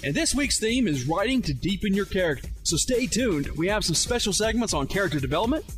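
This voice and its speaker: A man's voice: high voice, big pitch swings, fast talker, faint music behind. A man reading a scripted podcast intro as announcer. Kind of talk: scripted promotional narration.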